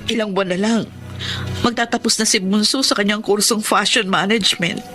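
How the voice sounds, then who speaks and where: A woman's voice: medium pitch, steady tone, brisk, fairly bright tone, faint music behind, close to an everyday voice. A woman playing a worried, longing middle-aged wife and mother in a Filipino radio drama. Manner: plaintive, emotional.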